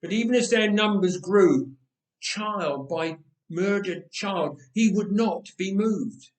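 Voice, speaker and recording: A man narrates as a storyteller with deliberate, unhurried phrasing and dramatic pauses. His voice sits a little high for a man and has a clear roomy echo around it. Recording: roomy echoing voice recording.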